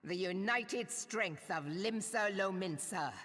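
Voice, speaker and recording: A woman's voice: mid-range voice, slow pace, a bit bright. A woman playing a bold, brash pirate admiral in a video game cutscene, commanding and defiant, with salty seafaring speech. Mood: defiant, rallying. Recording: long echoing hall reverb.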